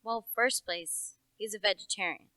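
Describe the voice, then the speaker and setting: Perempuan muda memerankan polisi desa bersuara terang dan jernih. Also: female voice, medium pitch, normal speed, pushed and bright, no echo, close to an everyday voice. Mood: knowing, suspicious. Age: young adult. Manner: rustic, knowing, matter-of-fact. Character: folksy, opinionated country police officer. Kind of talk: radio play acting.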